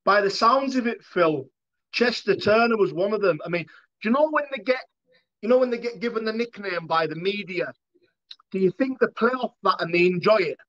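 British male podcast host, middle-aged, notably high voice, interviewing over muffled lo-fi call audio. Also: lively intonation, brisk, fairly bright tone. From United Kingdom. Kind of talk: interview questioning. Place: indoor room, on a video call. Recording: dull, lo-fi video call audio.

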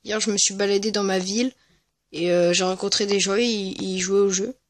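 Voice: mid-range voice, steady tone, moderate pace, no echo. Hesitant gamer, very dry sound. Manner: hesitant, rambling. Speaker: gaming video creator. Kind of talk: casual gameplay commentary. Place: at home, voice-over on mobile gameplay recording.

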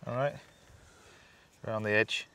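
A man, a TV chef chatting through a cooking demonstration outdoors, instructive and quick to laugh. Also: on the low side, steady tone, bright, forceful tone, slight background noise. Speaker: TV chef host. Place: outdoors at a campsite.

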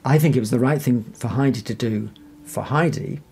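A man speaks as an interview guest with a soft, dark tone and plenty of creak. He explains carefully and reassuringly, in a conversational way. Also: mid-range voice, brisk.

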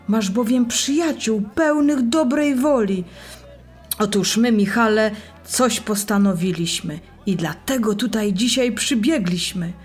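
A woman playing an eager, caring friend in a rhymed Bible audio drama for children, encouraging and expressive. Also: on the high side.

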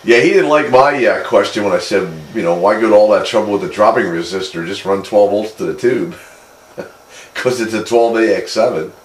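A man chatting casually as a hobby radio operator, explaining things in a rambling, halting way. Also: lowish voice. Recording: roomy shack with steady background noise.

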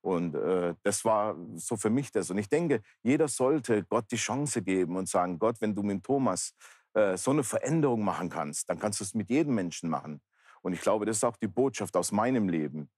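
Male interview guest, fifties, very low register, earnest plain-spoken testimony, dry sound. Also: animated, normal speed, darkish tone, husky, no room sound. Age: 50s. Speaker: talk show guest, pastor. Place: large indoor bar hall, seated interview.

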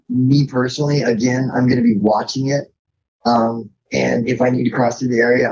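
A man's voice: mid-range voice, a little mellow, husky, lo-fi sound. An American man talking casually as a podcast guest, explaining things in an informal, practical way and often checking in with the listener. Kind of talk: casual interview talk.

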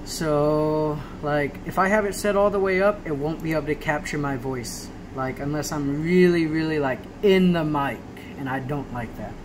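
Higher-voiced man over noisy background hum. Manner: halting, repeats check-ins like "right?". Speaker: tutorial maker. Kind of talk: casual off-the-cuff screen walkthrough. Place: small room indoors at a laptop. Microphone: handheld phone camera filming the screen. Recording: noisy home phone video, steady hum.

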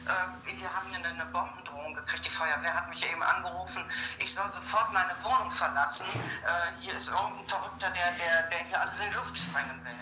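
A woman's voice: lowish voice, brisk, sharp tone, roomy sound, a little hiss or hum, low-quality recording. A woman playing an alarmed, stubborn elderly grandmother, heard through a telephone in a TV police drama. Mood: alarmed, insistent. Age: older.

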